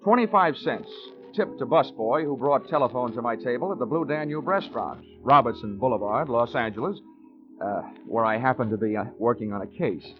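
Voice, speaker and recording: A man's voice: mid-range voice, animated, fairly quick, lightly acted. A man playing a sardonic, breezy insurance investigator who narrates his own case in a 1940s radio drama. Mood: dry, wry. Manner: wry, breezy, wordy. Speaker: lead actor and narrator. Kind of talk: 1940s radio detective acting. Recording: muffled 1940s radio broadcast recording, music.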